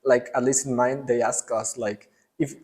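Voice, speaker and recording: A man's voice: medium pitch, steady tone, moderate pace, a little mellow. A young man from El Salvador, a student, telling his story as an interview guest in a loose, rambling way with many fillers. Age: young adult. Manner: rambling, lots of fillers and restarts. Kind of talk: casual conversational interview.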